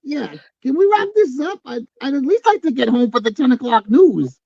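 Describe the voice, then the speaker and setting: Woman acting a high, creaky, folksy gossip. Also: lively intonation, normal speed, dark tone, dry recording, full character voice. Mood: gossipy. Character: wry, folksy gossip in amateur theater group. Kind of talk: comic staged play reading. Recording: dull, lo-fi video-call audio.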